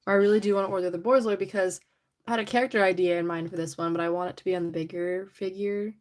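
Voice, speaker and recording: A young woman hosting a casual livestream, chatty and giggly, her voice a little raspy from allergies. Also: medium pitch, steady tone, fast talker, a little mellow. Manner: chatty, giggly, rambling with many "like"s. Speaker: livestream host. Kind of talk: casual livestream chat while painting a figure. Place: indoors at home.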